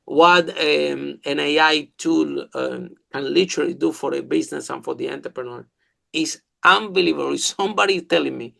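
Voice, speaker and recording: A man with a Spanish accent, Spanish as his first language, hosting a podcast interview in an enthusiastic, halting way with many fillers. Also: on the high side, gravelly, sharp tone. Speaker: podcast host. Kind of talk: casual interview chat.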